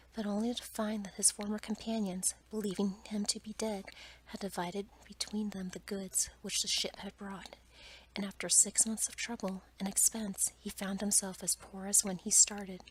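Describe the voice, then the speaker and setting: A woman whispers a fairy tale in a soft, breathy, careful ASMR bedtime-storytelling style. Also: mid-range voice, slight background noise, rough recording. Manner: soft, careful read-aloud delivery.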